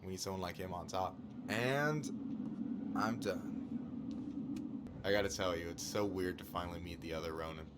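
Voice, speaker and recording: Young man played by an actor, deep-voiced, open-hearted and casual, for naturalistic video game dialogue. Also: normal speed, long pauses, heavy background noise, natural voice. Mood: sincere, casual. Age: young adult. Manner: warm, casual, earnest.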